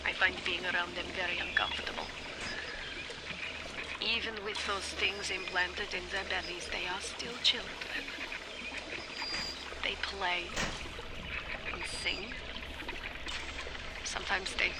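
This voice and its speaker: A woman playing a cold, troubled middle-aged scientist recording a measured audio diary for a video game. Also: fairly low pitch, fairly even intonation, heavy background noise. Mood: uneasy, troubled. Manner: measured, clinical, brooding.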